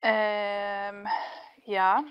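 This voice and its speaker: A woman speaking casually as an interview guest, chatty and animated, like a voice actress talking about her work. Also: mid-range voice, extremely slow, clean tone, highs cut off.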